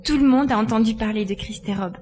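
A woman playing a spirited, capable girl pilot in an audio drama, brisk and teasing. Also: on the high side, a little mellow, soft background music, natural voice. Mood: attentive. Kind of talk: acted audio drama dialogue.

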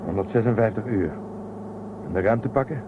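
A man's voice: on the low side, moderate pace, natural voice. An actor playing Telsen, a cautious, methodical man on a space crew in a radio play. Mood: calculating. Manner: methodical, calculating. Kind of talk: radio drama acting. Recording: muffled phone-like sound with loud hiss.

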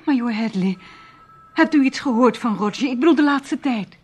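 A woman's voice: on the high side, animated, moderate pace, darkish tone, breathy edge. A woman playing a proud, wary young upper-class lady in a radio drama, speaking formally and easily turning indignant. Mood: agitated, urgent. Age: young adult.

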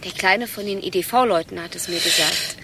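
An actress playing a guarded, matter-of-fact, quietly needling secretary in a satirical radio play. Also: female voice, on the low side, normal speed, vocal fry, very noisy. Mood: pointed.

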